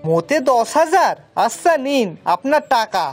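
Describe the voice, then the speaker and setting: Woman voicing a high-pitched, naive village uncle. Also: sing-song, moderate pace, faint music behind. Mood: kindly. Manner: earnest, guileless, folksy. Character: simple, kind-hearted, naive village man called uncle. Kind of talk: children's cartoon acting.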